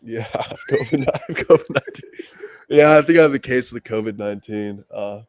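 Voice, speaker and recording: Deadpan joking man with a stuffy nose. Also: medium pitch, a little fast, no room sound. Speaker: podcast co-host. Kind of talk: casual sports chat. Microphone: livestream mic.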